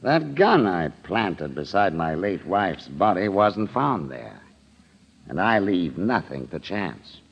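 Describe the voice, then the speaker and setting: Very deep-voiced, smug gangster killer. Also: male voice, big pitch swings, slow pace, creaky, breathy edge, heavily acted. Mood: menacing. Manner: menacing, smug, commanding. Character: cold-blooded, gloating male gangster killer. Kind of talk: old-time radio crime drama acting. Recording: dull vintage radio recording.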